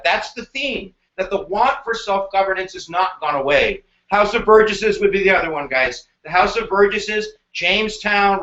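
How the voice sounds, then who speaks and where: A middle-aged man teaches history live, his high voice bright and playful. He slips into slang and jokes as he goes. The sound is dull and boxy, with the echo of a bare room. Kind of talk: energetic live-stream lesson.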